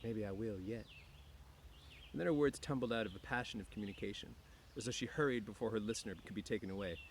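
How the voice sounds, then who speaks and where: A man's voice: lowish voice, rapid-fire, slight background noise. A man reading a novel aloud as narrator, occasionally stumbling and rereading words. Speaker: audiobook narrator.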